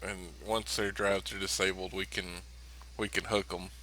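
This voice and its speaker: A male player chats in character during a tabletop game. His voice is slightly low, with a sharp, forceful edge. He tends to stumble and repeat words as he makes his point. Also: level tone, normal speed, slight background noise, low-quality recording. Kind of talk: in-character casual game chat.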